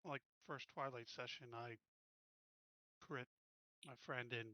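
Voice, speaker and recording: A man's voice: medium pitch, fairly even intonation, slow pace, pushed and bright, dry recording, highs cut off. A man chatting casually as a player in a game group, blunt, informal and jokey. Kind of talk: casual chat.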